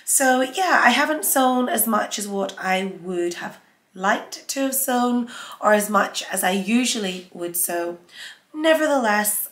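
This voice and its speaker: A woman with a cold and a scratchy sore throat hosts her sewing vlog in a chatty, conversational way. Her voice sits at an ordinary middle pitch. The sound is noticeably roomy and echoey. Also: a bit bright. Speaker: sewing channel host. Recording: echoey room.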